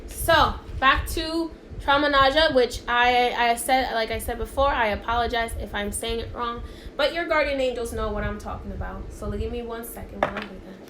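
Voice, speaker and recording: Woman, high voice, native Spanish speaker, live card reading, chatty and encouraging, echoey room on a phone mic. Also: normal speed. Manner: chatty and encouraging, often checks in with "okay?". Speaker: spiritual card reader. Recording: echoey room, faint background hiss.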